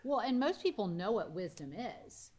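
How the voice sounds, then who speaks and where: A woman's voice: mid-range voice, big pitch swings, moderate pace, darkish tone, husky. A woman in her forties giving conversational religious teaching as a co-host. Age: 40s.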